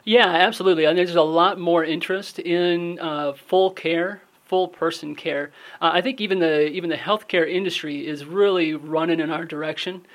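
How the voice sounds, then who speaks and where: A man's voice: on the high side, fairly even intonation, moderate pace, few pauses. A man who is a guest on a radio interview, explaining his wellness business earnestly and steadily, with frequent fillers.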